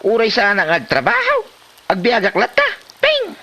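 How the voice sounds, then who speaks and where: A man's voice: extremely high pitch, huge pitch swings, moderate pace, sharp tone, full character voice. A man playing a lazy young husband with a brusque, dismissive manner in a comedy drama. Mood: defiant.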